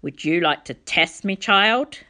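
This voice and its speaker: A woman's voice: fairly low pitch, lively intonation, measured pace, pushed and bright. A woman voicing a petulant, pompous, middle-aged male wine god in a storybook reading, whiny and theatrical. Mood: menacing. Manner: pouting, theatrical, sardonic.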